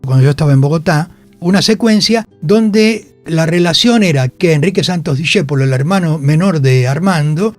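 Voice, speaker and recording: Older Argentine man, higher-pitched, dry sound. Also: normal speed, no echo, faint music behind. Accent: Argentine Rioplatense. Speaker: actor and writer, interview guest. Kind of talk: conversational radio interview. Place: radio studio.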